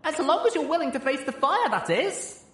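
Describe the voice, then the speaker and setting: A bubbly female pony with a very high voice, put on by a male narrator. She is gleeful and playful, in the manner of a cartoon storybook character. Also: sing-song, moderate pace, fairly bright tone, echoey room, heavily acted. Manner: sing-song glee.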